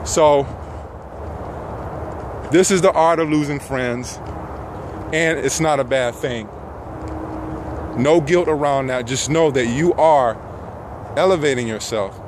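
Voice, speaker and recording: A middle-aged man giving an earnest motivational talk in measured phrases with frequent pauses, walking outdoors with a phone at arm's length. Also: mid-range voice. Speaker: self-help vlogger. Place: outdoors on a path among trees. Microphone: phone held at arm's length. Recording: noisy outdoor phone recording, traffic ambience.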